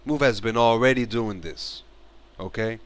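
A man's voice: medium pitch, a little slow. A man in his thirties from Morocco, talking earnestly to camera on a headset mic in a bedroom, often repeating and restarting phrases. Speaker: vlogger. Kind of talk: casual spoken commentary to camera. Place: bedroom, small indoor room.

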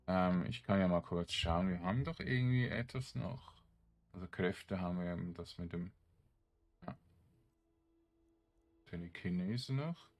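A man's voice: sits low, a little slow, pauses often. A man giving casual gameplay commentary, thinking aloud in short, loose fragments. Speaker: gamer streaming a let's play.

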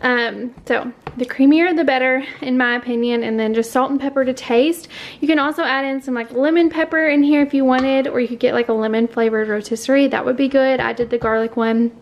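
A woman's voice: high voice, steady tone, fairly quick, highs cut off. A young adult woman, casually narrating her home cooking in a chatty, informal way. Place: home kitchen, indoors. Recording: clattering kitchen noise.

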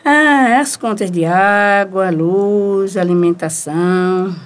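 An actress playing a sharp-tongued, scheming small-town wife in a radio soap opera, with a Northeastern Brazilian accent and colloquial delivery. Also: female voice, mid-range voice, takes it slowly, lightly acted. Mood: persuasive. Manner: colloquial, animated, exclamatory.

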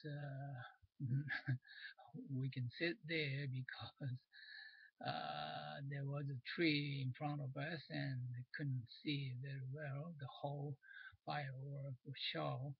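A man's voice: mid-range voice, slightly rough, no room sound, dull audio. A man in his sixties from Japan with a Japanese accent, recounting his day in hesitant English to a webcam at home. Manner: hesitant, searching for English words. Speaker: vlogger. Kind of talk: casual spoken diary. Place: small cluttered room at home, indoors. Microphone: webcam facing him.

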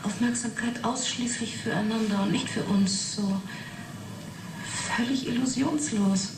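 A woman's voice: a little high, fairly even intonation, measured pace, close to an everyday voice. Actress as a fascinated woman witness, roomy, noisy recording. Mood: intent, fascinated. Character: young woman witness recounting an uncanny experiment, matter-of-fact yet fascinated. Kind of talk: German radio play acting. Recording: roomy 1980s radio play, noisy background.

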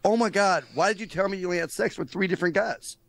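A man playing an aggravated, jealous young store clerk with a gravelly, husky voice in a comic table read. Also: high voice, fast, fairly bright tone, no room sound. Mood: aggrieved. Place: indoor room on a video call. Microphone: close microphone on a video call.

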